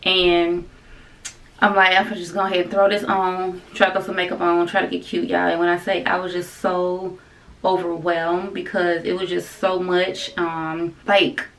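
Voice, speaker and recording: A woman's voice: lowish voice, flat delivery, normal speed, creaky, fairly bright tone, low-quality recording. A woman, chatting to camera at home in a loose, rambling vlog style and calling viewers "y'all". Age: 30s. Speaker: vlogger. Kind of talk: casual chat to camera. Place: bedroom at home, indoors.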